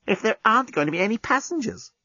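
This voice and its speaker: A man's voice: high voice, expressive, quick pace, sharp tone, no echo, voice pushed up, full character voice. Guy doing a smug alien schemer, sing-song, dull dry sound. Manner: coolly reasoning. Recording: slightly muffled dry recording.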